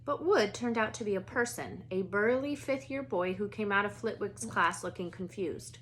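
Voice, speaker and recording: A woman reads a storybook aloud as narrator, with expressive delivery. Her voice sits at a middle pitch. There is some light noise behind her. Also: moderate pace.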